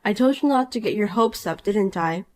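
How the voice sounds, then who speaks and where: A woman voicing a calm, cool married young woman in a dramatized story, dry and patient. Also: mid-range voice, normal speed, lightly acted.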